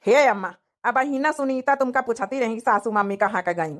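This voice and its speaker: A woman's voice: on the high side, normal speed, lightly acted. A woman voicing a doting, reassuring young village groom in a cartoon, coaxing and repetitive, with an Awadhi accent. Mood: cheerful. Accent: Awadhi, rural north India. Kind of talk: cartoon comedy dialogue.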